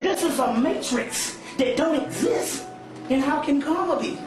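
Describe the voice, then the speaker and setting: A man's voice: very high voice, measured pace. A man giving an impassioned informal lecture, arguing emphatically and repetitively with coarse language. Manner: emphatic, argumentative, profane, repetitive. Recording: echoey room, far mic, music behind.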